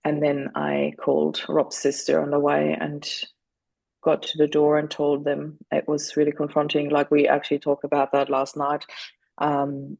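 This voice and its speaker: A middle-aged woman speaks in a gravelly, fry-laden voice. Her delivery stays flat and level as she talks earnestly, restarting her sentences now and then. The sound is dry and close, with no room around it. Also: low voice, dry recording, rough recording. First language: German. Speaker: guest. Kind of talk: candid interview conversation.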